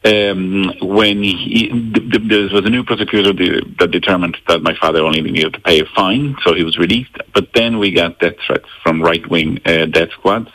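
A man from El Salvador speaking English as a radio guest, talking haltingly with many restarts and fillers. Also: low voice, fairly even intonation, a bit bright, poor audio. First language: Spanish. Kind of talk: radio interview.

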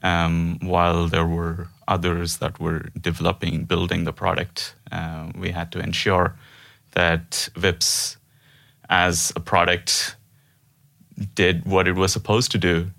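A man in his thirties from India talks through his career on a podcast in a deep, slow voice. His tone carries a bright, forceful ring. He hesitates and restarts often, breaking into light laughs. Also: monotone, creaky. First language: not Norwegian; Indian language. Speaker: podcast guest, software developer. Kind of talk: casual interview chat.

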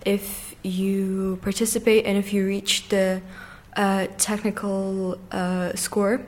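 A woman in her late teens from Ireland and Russia, answering interview questions conversationally and pausing to find her words. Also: medium pitch, level tone. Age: teens, around 17. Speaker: interview guest, competitive figure skater.

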